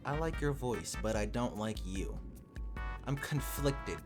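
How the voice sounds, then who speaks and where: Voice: mid-range voice, animated, measured pace. A gaming video host giving casual, slangy, sarcastic and mock-exasperated reaction commentary over gameplay. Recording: game music behind the voice. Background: game music.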